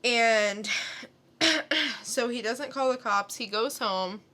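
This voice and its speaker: A woman with a bright, clear-cut voice recaps a story on a podcast. She takes her time, conversational and a little halting. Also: fairly high pitch. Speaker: podcast co-host. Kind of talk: casual comic plot recap.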